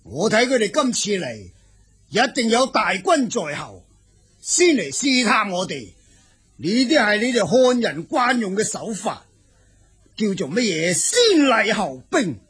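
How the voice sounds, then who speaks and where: Very husky, proud steppe king. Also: high-pitched, a bit bright, full character voice. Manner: emphatic, halting, sighing. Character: proud, indignant Xiongnu king, husband and father. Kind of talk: radio drama acting.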